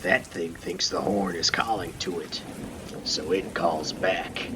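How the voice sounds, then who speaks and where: A man playing a calm, wise, mysterious lighthouse keeper in a student radio play, speaking in a deliberate storytelling way with pauses. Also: low voice. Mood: calm, reflective. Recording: rain and thunder noise behind. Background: rain and thunder.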